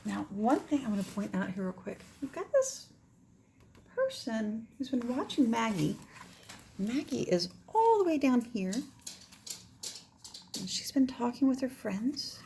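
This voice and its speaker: A woman's voice: fairly high pitch, slow, gravelly, pauses often, slight background noise. A woman reading a children's picture book aloud, expressively, with casual asides about the pictures. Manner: expressive, pointing out pictures to listeners.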